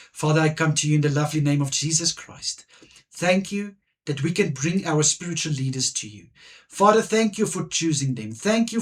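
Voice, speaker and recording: A man whose first language is Afrikaans, preaching a devotional message in earnest, halting speech with frequent restarts. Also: on the high side. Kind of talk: devotional sermon and prayer.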